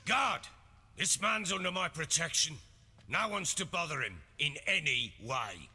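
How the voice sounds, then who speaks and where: Game warlord: middle-aged, Northern English, quick-tempered, sharp-edged, wildly swinging delivery in a reverberant hall. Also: male voice, fairly high pitch, lively intonation, full character voice. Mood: blustering. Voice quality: gruff, husky. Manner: blustering, emphatic. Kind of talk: video game character acting. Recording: hall reverb, music underneath.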